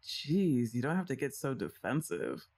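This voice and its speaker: Teasing young woman with a lively voice. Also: very deep voice, measured pace. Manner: teasing, playfully bossy, sighs and soft laughs. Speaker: ASMR roleplay performer. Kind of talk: scripted ASMR roleplay, speaking to the listener.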